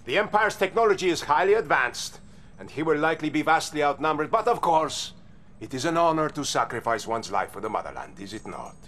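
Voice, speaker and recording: A sneering veteran Soviet general. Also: male voice, a little high, animated, brisk, a bit bright, a little hiss or hum. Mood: sardonic. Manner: sarcastic, condescending. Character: sardonic veteran male Soviet general, scornful.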